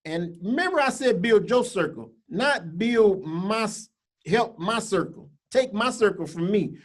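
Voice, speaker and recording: A man's voice: high-pitched, lively intonation, unhurried. A man co-hosting a casual webinar, joking and reading slides in a folksy, colloquial way. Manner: joking, folksy Southern-style dialect phrasing. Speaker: co-host.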